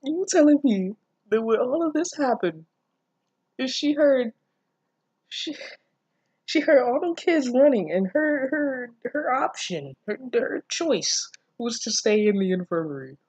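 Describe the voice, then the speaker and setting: A woman's voice: sits high, expressive, unhurried, a little mellow, slightly rough, no room sound. A woman reading fan fiction aloud and reacting casually, with an incredulous, self-deprecating manner and free swearing. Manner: giggly, breaking off to comment. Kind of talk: casual reaction commentary mixed with read-aloud.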